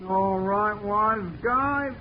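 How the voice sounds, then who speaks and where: A man's voice: high-pitched, very slow. An actor playing a small, brusque blond thug henchman in a 1940s radio drama. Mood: gruff. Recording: muffled old radio recording with hiss.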